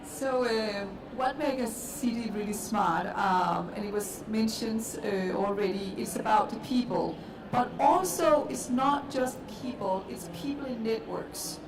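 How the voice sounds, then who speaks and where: Danish woman, high voice, echoey hall. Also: measured pace. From Denmark. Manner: earnest, repetitive, emphatic. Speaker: panelist. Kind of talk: panel discussion. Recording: echoey hall, distant mic, noisy.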